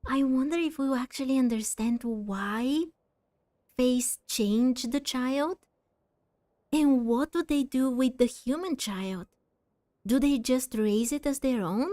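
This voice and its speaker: A woman's voice: high-pitched, slow pace, dark tone, no room sound. A woman giving casual, reflective let's-play commentary, musing aloud as she plays. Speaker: gaming video host.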